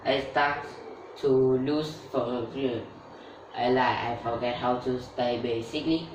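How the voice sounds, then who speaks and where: A woman talks slowly and steadily through a scripted English-learning tutorial. She sounds set back from the mic in an echoey room, with a faint hum underneath. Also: a bass voice. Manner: steady explanatory delivery. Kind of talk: scripted tutorial with sponsor read. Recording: roomy echo, distant mic, faint background noise.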